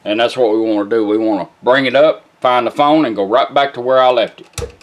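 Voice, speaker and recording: A man's voice: medium pitch, a little fast, lo-fi sound. A plainspoken, folksy man giving a casual hands-on product walkthrough. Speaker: presenter demonstrating restored vintage car radios. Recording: music playing behind the voice. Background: music from the demonstrated radio.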